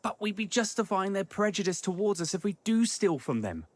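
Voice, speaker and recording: A man's voice: sits high, very animated, fairly quick, noisy background. A man playing a principled, anxious young companion in a comedy audio drama. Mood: objecting.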